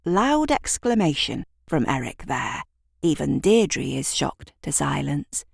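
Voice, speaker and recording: Low-pitched, unhurried woman narrator. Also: sing-song, creaky, husky, no echo, clean studio sound. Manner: wry, conversational asides. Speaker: writer and narrator of audio drama. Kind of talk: scripted story narration.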